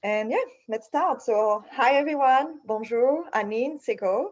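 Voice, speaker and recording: A woman from France with a French accent, facilitating an online session with a somewhat halting delivery. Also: fairly high pitch, lively intonation, dull audio. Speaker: session facilitator, program manager. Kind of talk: welcoming introduction to an online session. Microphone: video call.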